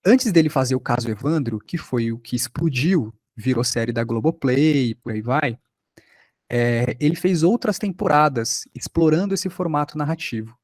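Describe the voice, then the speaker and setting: A Brazilian man leads an informal online class as a workshop instructor. His voice sits at an ordinary male pitch and is captured very dry, with little room sound. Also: darkish tone, dry recording. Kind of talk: informal online lecture with examples.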